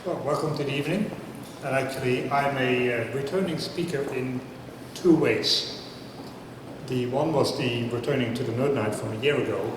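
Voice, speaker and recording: Man in his fifties, chatty public talk, self-deprecating, booming hall echo, heard from a distance. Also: normal speed. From Netherlands. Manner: chatty, self-deprecating, asks the crowd questions. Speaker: speaker at a science talk night. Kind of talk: casual public talk with audience banter. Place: large hall before a live audience. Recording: echoey hall, mic from afar, noisy.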